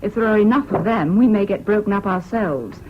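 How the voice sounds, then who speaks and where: A woman's voice: mid-range voice, fairly quick, lightly acted. An actress playing an earnest, sardonic young anti-fascist activist woman with an English accent, in 1970s radio drama style. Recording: muffled old radio recording, faint hiss.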